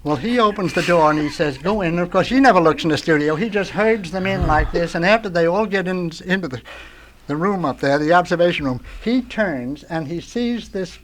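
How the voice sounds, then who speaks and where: An older man, a radio veteran, tells anecdotes as a talk show guest in a halting, rambling way, groping for words. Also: high voice, expressive, a bit bright, slight background noise. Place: radio studio.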